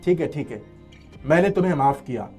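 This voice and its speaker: A man's voice: fairly high pitch, animated, brisk, a little mellow, soft background music, natural voice. A man voicing a forgiving, honest, hard-working young villager in a cartoon moral story. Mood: earnest, forgiving.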